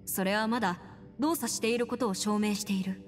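A woman's voice: medium pitch, steady tone, a little fast, close to an everyday voice. An actress playing a confident young woman scholar in an anime-style game, explaining things in an assured way. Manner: assured, explanatory, crisp phrasing. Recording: long reverb, music underneath.

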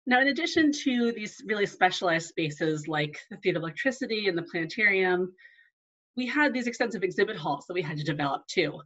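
A woman's voice: fairly low pitch, animated, fairly quick, a bit bright. Conversational woman presenting, lo-fi audio. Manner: conversational, with frequent ums and asides. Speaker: museum archivist. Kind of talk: presenting a live online talk.

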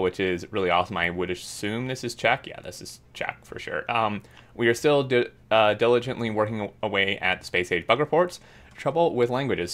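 A man's voice: fairly low pitch, a bit bright. A man casually reading out and commenting on a game developer blog, upbeat and full of fillers. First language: English. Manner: chatty, filler-heavy, upbeat. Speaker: gaming YouTuber.

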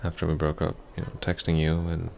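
A man playing a heartbroken, apologetic ex-boyfriend in an intimate ASMR roleplay, soft-spoken, halting and stammering. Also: rumbling low, monotone, creaky, slight background noise. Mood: heartbroken, pleading.

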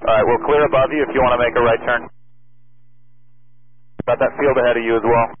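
A man's voice: medium pitch, little pitch movement, fairly quick. A man speaking as a pilot in aviation radio communication, heard through an aircraft radio. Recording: noisy, narrow-band aircraft radio transmission.

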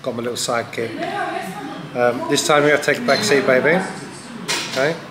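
A man's voice: medium pitch, fairly even intonation, a little fast, very noisy. A man in his thirties from England, chatting casually and coaxing his partner while stripping out a stable. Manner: bossy, coaxing, sweary. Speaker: vlogger. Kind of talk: casual vlog chat while renovating. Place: empty wooden stable building, indoors. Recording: echoey stable with music underneath.

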